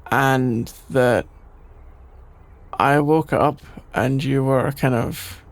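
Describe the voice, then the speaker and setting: A man acting out a shy young guy in an ASMR roleplay, the flustered best friend. His voice is heavily creaky and slow, mid-pitched for a man. He talks haltingly, sleepy and bashful, as if embarrassed to finish his sentences. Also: fairly even intonation. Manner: hesitant, halting, trailing off with sighs and nervous chuckles. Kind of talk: intimate ASMR audio roleplay, improvised-sounding dialogue.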